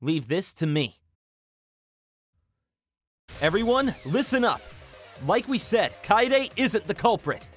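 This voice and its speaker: A man's voice: high-pitched, very animated, slow pace, pauses often, faint music behind, heavily acted. A man voicing a confident, earnest teenage student in an anime fan dub. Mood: assured. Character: confident, earnest teenage male student making his case.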